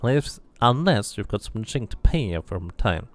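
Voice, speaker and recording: A man's voice: lowish voice, sing-song, normal speed. A man voicing a tired, coy streetwalker woman in a fantasy game, teasing and mercenary. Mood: businesslike, teasing.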